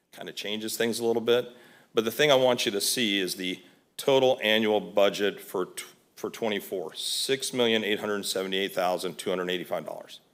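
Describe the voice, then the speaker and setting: A man's voice: fairly low pitch, fairly bright tone. A man presenting to a county board as a volunteer, talking informally with fillers and restarts. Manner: conversational, many fillers and restarts. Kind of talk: public meeting presentation. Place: county commission meeting room.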